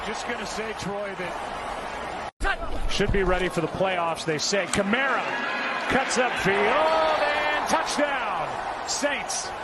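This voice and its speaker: A man giving live play-by-play commentary on a football game, calling touchdowns with excitement in a broadcast recording. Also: sits high, slow. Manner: excited calls of scoring plays. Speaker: play-by-play announcer. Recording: game broadcast with loud crowd noise. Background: roaring stadium crowd.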